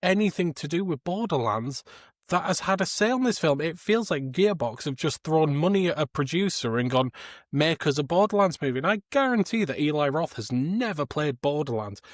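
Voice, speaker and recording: A man's voice: a little high, dry recording, studio quality. A man co-hosting a podcast, talking about film casually in a critical, exasperated and informal way. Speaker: podcast co-host.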